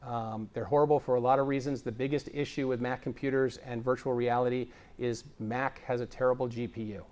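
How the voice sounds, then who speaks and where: A man's voice: mid-range voice, fairly even intonation, brisk, few pauses, a little mellow. A man giving a conference presentation on video production, explaining with energy and frequent fillers. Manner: enthusiastic, explanatory, filler-heavy. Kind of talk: tech conference talk. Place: conference stage.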